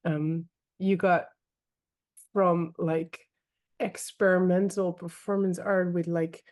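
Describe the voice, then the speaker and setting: A woman hosting an interview podcast, talking casually and hesitantly, with many pauses and repeated words. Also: lowish voice, flat delivery, darkish tone, no echo. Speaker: podcast host.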